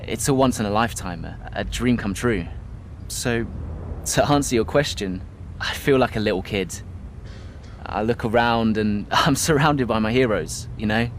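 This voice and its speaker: Actor as humble young rookie driver, lowish voice. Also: male voice, normal speed, slight background noise, close to an everyday voice. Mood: humble, earnest. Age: young adult. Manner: hesitant, earnest, modest. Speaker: racing driver character. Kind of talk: press conference answers in game cutscene.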